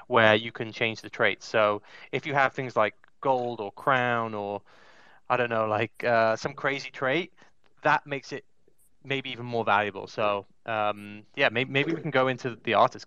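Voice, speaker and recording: A man explaining things casually in a halting, hedging way, as a co-host on an online voice chat panel. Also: mid-range voice, pushed and bright, smooth, no echo. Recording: dull, dry lo-fi online audio.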